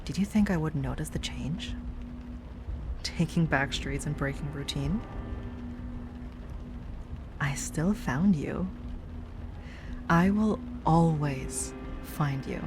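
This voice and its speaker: Slow, lowish-voiced obsessive ex-girlfriend acted by a woman. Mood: creepy, possessive. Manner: soft, halting, wistful, with sighs and nervous little laughs. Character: obsessive ex-girlfriend, sweetly pleading turning quietly menacing. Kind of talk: intimate scripted audio roleplay monologue. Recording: music under the voice.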